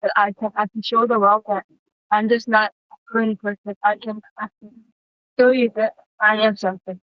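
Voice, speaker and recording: A woman's voice: medium pitch, little pitch movement, brisk, no echo. A teenage girl from Pakistan whose first language is Punjabi, presenting hesitantly at school with many ums and repeated words. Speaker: student presenter. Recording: dull lo-fi video playback.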